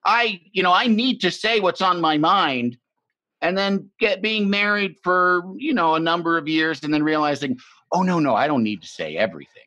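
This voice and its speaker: A man's voice: high-pitched, lively intonation, normal speed, sharp tone, no echo. A middle-aged man from the American Midwest hosting a casual, reflective conversation, rambling and restarting his sentences and swearing now and then. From Illinois, US Midwest. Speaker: podcast host. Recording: slightly muffled dry recording.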